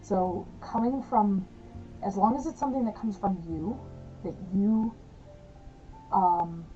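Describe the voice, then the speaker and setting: Chatty woman vlogger, kinda hoarse and gravelly, mellow voice, faint music behind. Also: on the high side, muffled recording. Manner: chatty, rambling, frequent fillers and soft laughs. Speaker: witchcraft vlogger. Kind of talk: casual talk to camera.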